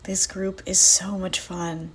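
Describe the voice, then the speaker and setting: A woman leading a guided visualization in a soft, breathy, soothing voice, leaving long pauses between phrases. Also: on the low side, flat delivery, a little hiss or hum. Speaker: guided meditation host. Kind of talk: guided visualization meditation, first-person.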